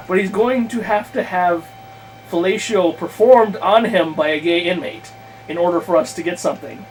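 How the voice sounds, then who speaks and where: A man chatting casually about his tabletop game plans, thinking aloud in a halting, self-correcting way with crude language. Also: high voice. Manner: crude, freely swearing. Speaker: podcast guest host, game master. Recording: clearly noisy room recording.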